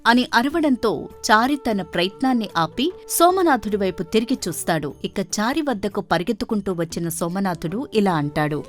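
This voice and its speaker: Animated woman storyteller, lively pitch. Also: moderate pace, faint music behind. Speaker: narrator. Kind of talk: scripted story narration.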